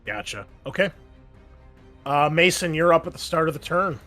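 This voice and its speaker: A man running a tabletop game as game master, in a casual, relaxed way with frequent fillers. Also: on the high side, normal speed, faint music behind.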